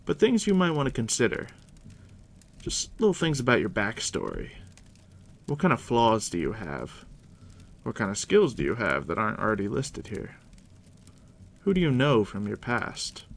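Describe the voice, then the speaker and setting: A man softly near-whispering in an ASMR roleplay as a game master, casual and unhurried with a dry, teasing manner. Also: creaky. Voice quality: deep, hushed near-whisper. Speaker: ASMR roleplay host, playing a dungeon master guiding a new player. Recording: steady background noise, crackling fire ambience. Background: fire crackle.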